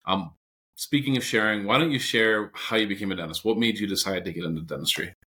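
A man's voice: on the low side, fast talker, pushed and bright. A man hosting a dental podcast, chatty and enthusiastic as he interviews a guest. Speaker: podcast host.

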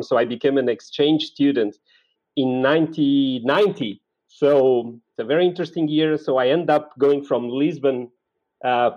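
A man's voice: a little high, a little mellow, highs cut off. A man in his forties from Portugal with a Portuguese accent, telling personal stories in a reflective, conversational way as an interview guest. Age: 40s.

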